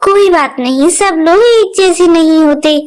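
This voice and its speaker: A woman voicing a kind, motherly sparrow who speaks gently and soothingly. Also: very high voice, a little slow. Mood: comforting.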